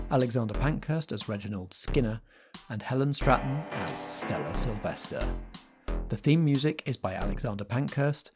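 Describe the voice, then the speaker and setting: A man, the game master, chatting casually after a tabletop game and reading the show's outro. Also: mid-range voice. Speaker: game master and show announcer. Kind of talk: casual post-game chat and scripted outro.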